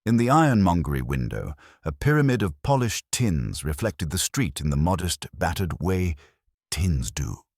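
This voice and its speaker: A man narrating a literary mystery story in a measured, wry, formal manner. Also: low voice, very animated, darkish tone, dry recording, clean studio sound.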